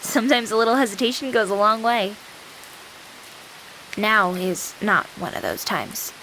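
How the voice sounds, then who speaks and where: A woman's voice: medium pitch, unhurried, sharp tone, a little hiss or hum, rough recording. A woman playing a young female AI companion with an anime-girl persona in a visual novel game, devoted and formal but playful. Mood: firm. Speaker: game character.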